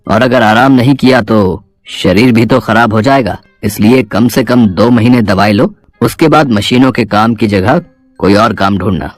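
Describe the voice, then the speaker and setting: A man voicing a calm, matter-of-fact doctor giving measured advice in a Hindi moral-story cartoon. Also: on the low side, dry recording, faint music behind, lightly acted. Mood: serious, advising. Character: calm, advising male doctor.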